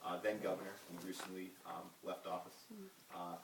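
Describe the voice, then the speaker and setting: A young man giving a halting campaign speech full of ums and uhs while losing his voice. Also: sits low, monotone, vocal fry. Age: young adult. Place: meeting room at a retreat. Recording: roomy, distant, noisy hall recording.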